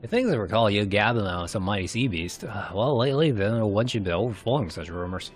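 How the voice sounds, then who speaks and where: A man reading game cutscene dialogue aloud in a dramatised, somewhat halting way. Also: lowish voice, expressive, faint music behind.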